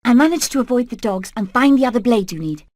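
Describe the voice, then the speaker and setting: Game casting: female hen played by a woman, fairly high pitch, purposeful escape leader, earnest and resolute. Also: lively intonation, fast talker, darkish tone, dry recording, studio quality, lightly acted. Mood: determined. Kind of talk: animated video game acting.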